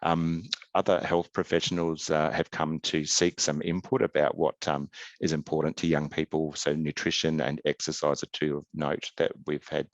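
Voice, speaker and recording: A middle-aged man giving a webinar slide presentation over a video call, halting, with many ums and restarts. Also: a bass voice, little pitch movement, dry recording, highs cut off. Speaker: health service presenter. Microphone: video call webcam.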